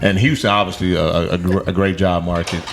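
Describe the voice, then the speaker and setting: Deep-voiced Midwestern man, measured. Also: flat delivery, a loud crowd. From Gary, Indiana. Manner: measured and earnest, with "uh" pauses. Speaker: podcast host, guest on this show. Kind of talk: casual chat.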